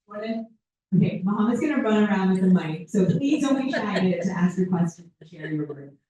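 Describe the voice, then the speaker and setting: A woman's voice: medium pitch, animated, brisk. Encouraging woman moderator in an echoey hall. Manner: appreciative, gently encouraging. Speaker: event moderator. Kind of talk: moderating an audience Q&A. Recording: roomy hall picked up from far off.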